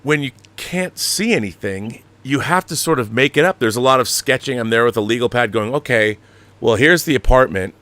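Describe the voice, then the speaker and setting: A man hosting a casual livestream, explaining things in a rambling, animated, slangy way, like a director talking shop. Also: medium pitch, fairly quick. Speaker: show host and audio drama director.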